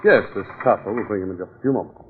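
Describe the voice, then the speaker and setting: An actor playing a composed, protective family doctor in 1940s radio drama, speaking formally. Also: male voice, on the low side, racing, natural voice. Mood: composed, solicitous. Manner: formal, explanatory. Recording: noisy, muffled old radio recording.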